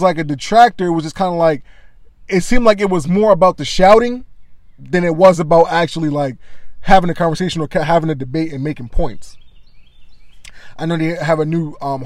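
A man with a loud voice giving casual, conversational commentary on sports media, often adding "you know what I mean.". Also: a little high, no echo.

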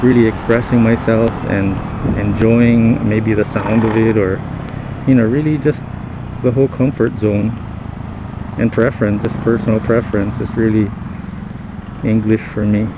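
A fairly low-voiced man talks to himself on his motorcycle, thinking aloud in loose, halting English full of fillers and restarts. His helmet mic catches him with loud road and traffic noise roaring behind him. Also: fairly even intonation, highs cut off. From Philippines. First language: Tagalog. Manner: rambling, halting, reflective, many fillers and restarts. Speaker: podcast host. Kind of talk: casual spoken monologue while riding. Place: on a motorcycle in city traffic, outdoors. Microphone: helmet-mounted mic.

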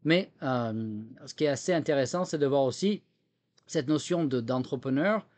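A man's voice: medium pitch, expressive, normal speed, darkish tone. A man from France with a slight American tinge to his French accent, giving explanatory interview answers and often repeating words as he searches for the next. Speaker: technology entrepreneur and former government official, interview guest.

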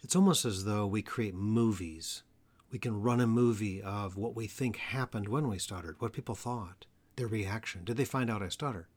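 Low-voiced man hosting a stuttering podcast. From Atlanta area, US. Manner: earnest, measured delivery with slow emphatic phrases. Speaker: podcast host, stuttering therapist. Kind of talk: solo educational podcast talk.

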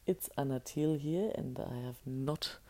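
Woman podcaster, deep hoarse voice, gravelly and dark, reflective and searching for words. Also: unhurried. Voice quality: naturally deep voice. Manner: reflective, musing aloud with searching restarts. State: hoarse, voice strained from singing. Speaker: podcast host. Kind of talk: casual solo podcast monologue.

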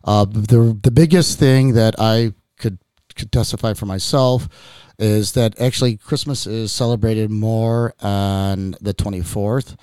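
A man's voice: fairly low pitch, steady tone, a little slow, dark tone, smooth, no room sound. A man from Chicago hosting a casual podcast in a studio, talking in a rambling way with lots of restarts and fillers. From Chicago, United States. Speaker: podcast host.

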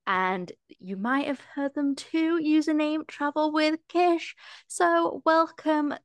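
A woman's voice: high-pitched, takes it slowly, no echo. Woman host, enthusiastic, dry close-miked sound. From East Midlands, England. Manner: upbeat, enthusiastic interviewer. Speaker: podcast host. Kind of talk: casual interview chat.

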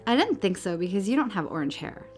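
A snooty young schoolgirl acted by a woman narrator pries and dismisses. Her pitch is lifted above the narration, with soft music under the story. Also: big pitch swings, fast, close to an everyday voice. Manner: probing, dismissive. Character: tall, nosy, snooty schoolgirl. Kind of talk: storybook character dialogue.

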